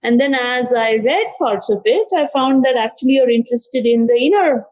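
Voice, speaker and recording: A woman's voice: fairly high pitch, normal speed, a little mellow, low-quality recording. A woman interviewer who asks probing questions and checks her points back with her guest. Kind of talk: conversational interview.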